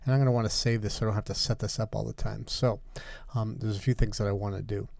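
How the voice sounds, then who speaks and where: A man teaching music production in a methodical software tutorial, explaining each step as he goes. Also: fairly low pitch, steady tone, fast, gravelly, no echo. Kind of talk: software tutorial, talking through steps.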